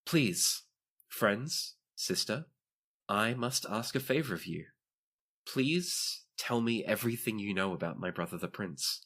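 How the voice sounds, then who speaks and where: A man's voice: lowish voice, animated, unhurried, pushed and bright, pitched above the performer's own voice, heavily acted. A man voicing a gracious young princess in a tabletop game, sincere and formal in courtly phrasing. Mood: courteous, earnest.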